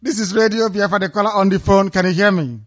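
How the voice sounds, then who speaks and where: A male call-in radio host with a slightly raised pitch, heard through dull, lo-fi audio. He speaks firmly and declares his points. He keeps calling out to the callers on the line. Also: fast. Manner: firm, declaring, repeatedly hailing callers.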